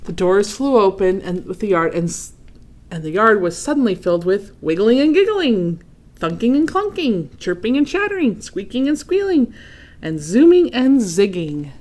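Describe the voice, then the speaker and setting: Lady doing a kids picture book read aloud, expressive, stops to ask questions n comment. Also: medium pitch, normal speed. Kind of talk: children's storybook read-aloud with questions to young listeners.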